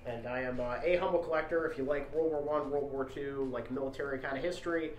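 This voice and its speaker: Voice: mid-range voice, fast talker. A podcast co-host who moves between dramatic story narration and casual chat. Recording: echoey, roomy recording.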